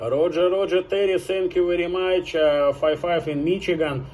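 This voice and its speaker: High-voiced man working ham radio. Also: fairly even intonation, darkish tone. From France. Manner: repeats words and call signs deliberately. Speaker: amateur radio operator. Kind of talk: ham radio contact exchange with phonetic spelling.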